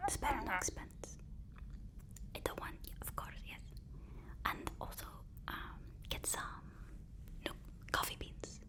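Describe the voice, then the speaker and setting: A woman whispers slowly, in an ASMR roleplay as an obsequious assistant to a supervillain. She is fussy and deferential, halting and repeating herself as she goes. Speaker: ASMR performer.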